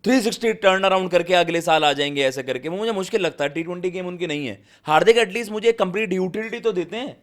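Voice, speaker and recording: A man's voice: high-pitched, fast talker, hardly any pauses, a bit bright. A man giving opinions as a panelist in a casual cricket debate, colloquial and slangy, mixing in English words. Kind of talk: casual sports debate chat.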